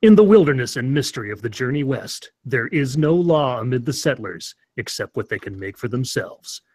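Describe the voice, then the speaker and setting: A man's voice: mid-range voice, lively intonation, moderate pace, a little mellow, slightly rough. Man putting on a portentous frontier trailer narrator. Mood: grave, dramatic. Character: solemn narrator of a frontier cop show trailer. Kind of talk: mock-dramatic promo narration.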